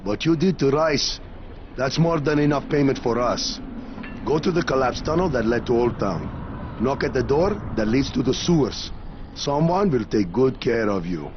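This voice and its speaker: A man's voice: medium pitch, expressive, normal speed, dull audio, noisy background, close to an everyday voice. An actor playing a grateful, businesslike male underground fixer in a video game. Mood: firm, grateful. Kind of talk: video game cutscene acting.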